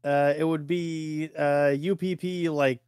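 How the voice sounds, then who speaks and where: A man's voice: a little high, fairly even intonation, slow, a little mellow, clean tone, no room sound, studio quality. A man co-hosting a podcast in casual conversation, giving brief, matter-of-fact answers and confirmations. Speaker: podcast co-host. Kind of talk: casual film discussion podcast.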